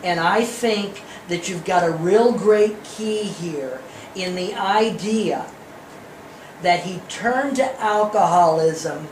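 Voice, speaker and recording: Slow-speaking woman in an echoey room. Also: lowish voice, darkish tone. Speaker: audience member giving feedback on a play. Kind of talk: deliberate spoken critique in a group discussion. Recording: echoey room with faint noise.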